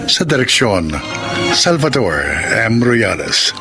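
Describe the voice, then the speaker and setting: A man's voice: medium pitch, very animated, slow pace. A man with a Filipino accent reading scripted narration as a radio drama announcer. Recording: loud music under the voice.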